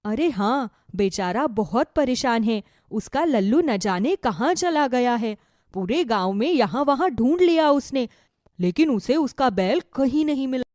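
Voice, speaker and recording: A woman voicing a chatty, inquisitive village wife in a cartoon moral story, expressive and gossipy. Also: fairly high pitch, a little slow, no room sound, clean studio sound. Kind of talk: Hindi animated moral story dialogue.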